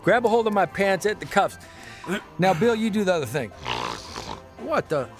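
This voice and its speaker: An earnest, folksy newspaper columnist. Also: male voice, sits high, expressive, normal speed, faint music behind. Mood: exasperated, comic. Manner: plain-spoken, folksy. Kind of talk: scripted first-person audio drama narration.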